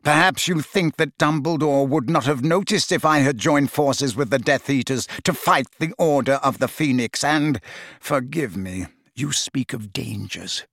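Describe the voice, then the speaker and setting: Man playing Snape, smooth, disdainful double agent. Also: mid-range voice, fairly bright tone, dry recording, studio quality. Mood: smooth, cutting. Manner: deliberate, smooth, impatient, sarcastic. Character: cold, smooth, condescending male double-agent wizard.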